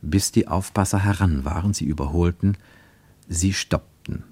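A man narrating a radio drama in a measured, deliberate storytelling manner, recorded in a studio. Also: sits low, a little mellow, breathy edge, dry recording. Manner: measured, deliberate storytelling with slowed emphatic phrases.

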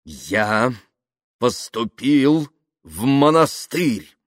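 A man voicing a humble, wounded monastery novice in a story, with a measured manner that swells into indignant protest. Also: medium pitch, expressive, no echo, studio quality, voice pushed up, close to an everyday voice. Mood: solemn suffering.

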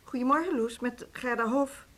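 A fairly high-voiced, formally polite music-school staffer, played by a woman in a Dutch radio play. She speaks in a measured way and stays firm. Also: fairly bright tone, natural voice. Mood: apologetic, firm. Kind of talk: Dutch radio drama acting.

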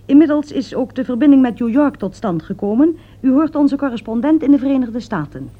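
A woman's voice: high voice, expressive, fairly quick. A woman playing a composed continuity announcer, making formal broadcast announcements in a radio drama. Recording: tinny, narrow old radio recording.

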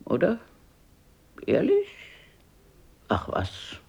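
An actress in a radio play playing a plucky, brusque but warm-hearted old grandmother, raspy and lively, muttering and exclaiming. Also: deep voice, very slow, full character voice. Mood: feisty, grumbling. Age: older.

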